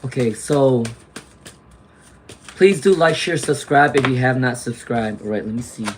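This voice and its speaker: A man doing a live tarot reading, chatty and reassuring, clearing his throat often as he loses his voice to laryngitis. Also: medium pitch, measured pace, noisy background. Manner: chatty, reassuring, frequent "okay?" and "all right?" tags. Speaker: tarot reader; teacher.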